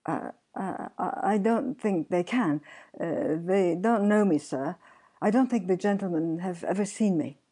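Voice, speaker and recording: Storybook gravedigger woman doing the part: timid, stammering, grovelling, mellow-toned man. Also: on the low side, slow. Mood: horror-stricken pleading. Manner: stammering, hesitant, deferential. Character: frightened, grovelling male gravedigger, grim and nervous. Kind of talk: dramatic storybook reading.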